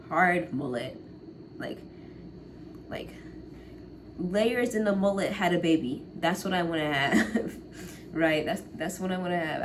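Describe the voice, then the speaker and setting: A woman in her late teens chatting casually to the camera in a rambling way, often laughing and trailing off. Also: low voice. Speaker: college vlogger. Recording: noticeable background noise.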